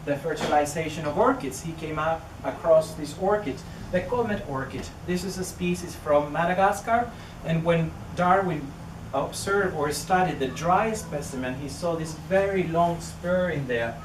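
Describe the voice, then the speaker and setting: A man's voice: a little high, normal speed, hardly any pauses. A man in his thirties with a Chilean Spanish accent, a native Spanish speaker, giving a public talk as an orchid researcher, hesitant with many fillers and restarts. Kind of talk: public lecture. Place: indoor venue near a greenhouse. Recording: distant roomy recording with noise.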